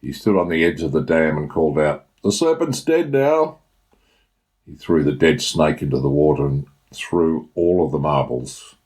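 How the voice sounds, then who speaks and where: A man's voice: very deep voice, a little mellow. A man with an Australian accent reading his own short story as scripted narration.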